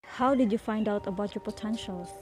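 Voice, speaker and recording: A woman's voice: medium pitch, a little fast, natural voice. A woman playing a composed investigator in an amateur radio drama, asking formal, probing questions. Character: composed female investigator questioning gifted students. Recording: music behind the voice.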